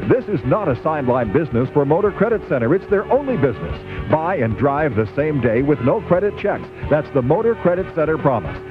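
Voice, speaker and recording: Lively male ad announcer on tinny radio. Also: on the high side, fairly quick, few pauses. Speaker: commercial announcer. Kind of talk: radio advertisement read. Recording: tinny AM radio, music bed.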